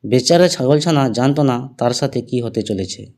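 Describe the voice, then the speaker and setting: A man's voice: medium pitch, lively intonation, moderate pace, a little mellow. A man narrating a scripted cartoon fable as the storyteller, with music behind him.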